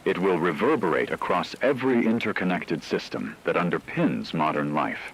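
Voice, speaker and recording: A man playing a solemn radio correspondent, reading scripted emergency news in a formal, measured way. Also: sits low, expressive, slightly rough, full character voice, rough recording. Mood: grave, urgent. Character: grave male radio war correspondent in fictional broadcast.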